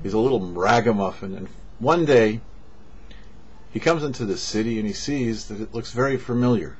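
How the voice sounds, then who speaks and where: A man, a rabbi, giving a short sermon with parables in a measured storyteller's delivery, pausing between phrases. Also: lowish voice, darkish tone, breathy edge. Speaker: rabbi, host of a weekly Torah portion show. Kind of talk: sermon with storytelling, read for a show. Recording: dull, lo-fi audio.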